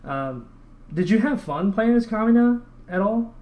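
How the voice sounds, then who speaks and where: A man's voice: sits high, animated, unhurried, dull audio, over a noisy crowd. A man hosting a casual podcast interview, chatty and a bit hesitant, talking like a keen fan. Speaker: podcast host.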